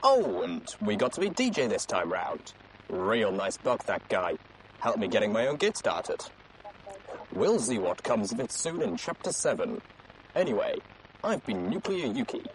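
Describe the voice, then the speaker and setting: A man's voice: on the low side, wildly swooping pitch, a little slow, slight background noise, low-quality recording. A man hosting a show, talking in a casual, slangy and colloquial way. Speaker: host. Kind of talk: casual show sign-off and commentary.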